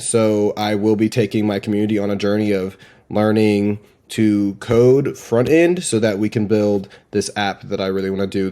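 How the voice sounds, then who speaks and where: A man's voice: fairly low pitch, a little mellow. A man giving casual demo commentary as a presenter, informal and slangy, with an enthusiastic manner. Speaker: presenter demonstrating AI voice assistants.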